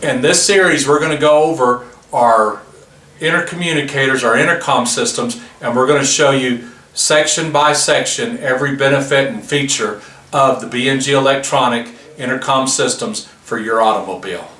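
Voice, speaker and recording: A man's voice: mid-range voice, moderate pace, sharp tone. Man explaining gear, distant roomy mic. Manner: plain explanatory, walks through features step by step. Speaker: product demonstrator. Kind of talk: product demonstration.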